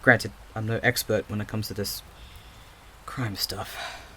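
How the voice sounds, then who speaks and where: A man playing a restless, overthinking investigator with magical sight in an audio drama, muttering haltingly to himself. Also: fairly low pitch, steady tone, sharp tone, slight background noise. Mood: pondering.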